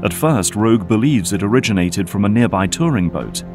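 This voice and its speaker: A man's voice: on the low side, expressive, a little fast. A man reading scripted narration for an audio drama. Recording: prominent music under narration. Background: music.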